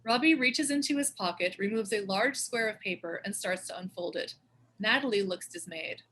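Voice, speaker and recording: A woman's voice: mid-range voice, normal speed, a bit bright, slight background noise. A woman narrating the stage directions of a screenplay in a scripted read.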